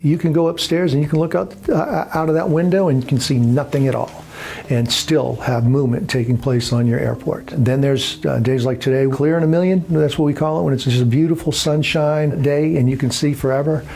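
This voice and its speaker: A man's voice: fairly high pitch, steady tone, a little fast, vocal fry, a little mellow. A man in an interview, explaining air traffic control work in a matter-of-fact way.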